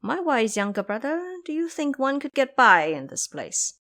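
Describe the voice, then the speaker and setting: A proud, hot-tempered monkey king from a fantasy audio drama, performed by a woman. He sounds boastful, playful and used to command. The pitch is raised and very lively. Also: moderate pace, heavily acted.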